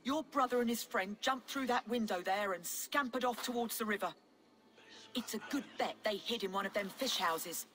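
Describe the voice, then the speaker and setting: An actress playing a suspicious, hard-nosed medieval village alewife in a game cutscene, with a curt, colloquial manner. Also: female voice, fairly high pitch, moderate pace, a bit bright, natural voice. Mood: won over. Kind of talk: dramatic game cutscene acting.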